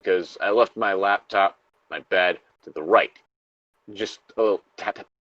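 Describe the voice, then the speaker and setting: A man's voice: low-pitched, moderate pace, fairly bright tone, lo-fi sound. A man co-hosting a story-reading show, switching between informal, sweary banter and reading horror stories aloud. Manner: informal, profane.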